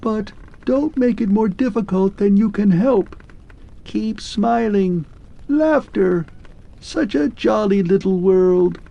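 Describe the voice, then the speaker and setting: A man voicing a melancholy clockwork man who speaks in a shrill, faint, pleading way. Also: unhurried, vocal fry, warm tone, dull audio. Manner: pleading, wistful, grows faint and distant.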